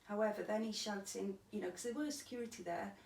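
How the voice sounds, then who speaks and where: A woman with a Welsh accent and a croaky, gravelly voice, telling her own story plainly in an interview. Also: medium pitch, quick pace, a little mellow. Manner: plain, matter-of-fact telling with restarts. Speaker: interviewee recounting a stabbing. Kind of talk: candid personal testimony. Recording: echoey room, distant mic, faint hiss.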